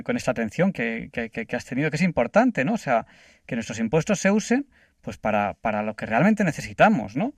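A man's voice: medium pitch, no room sound, studio quality. A man hosting a radio interview, reflective and affirming, with some hesitations. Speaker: radio host.

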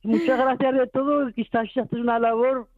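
A woman's voice: high-pitched, fairly even intonation, fairly quick, darkish tone. A woman, chatting and repeating her words as a caller to a radio show. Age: 90s. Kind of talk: casual chat. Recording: muffled, band-limited phone call on radio.

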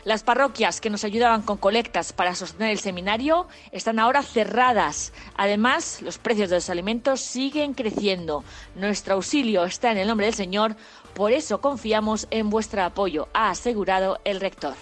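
Female newsreader for radio, average pitch, reading from a script. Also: moderate pace. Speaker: news reader.

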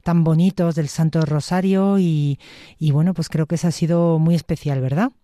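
A woman's voice: low voice, steady tone, moderate pace, mellow, no echo, clean studio sound. A woman with a Spain Spanish accent hosting a conversational radio interview in an appreciative, encouraging, rambling way. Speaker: radio host.

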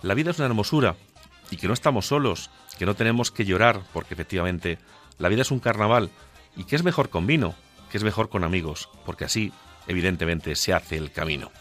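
A man's voice: sits low, expressive, quick pace, a bit bright. A man with a central Castilian Spanish accent delivering an impassioned, declamatory radio monologue, rhetorical and emphatic. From La Mancha, central Spain. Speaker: radio contributor. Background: music under the voice.

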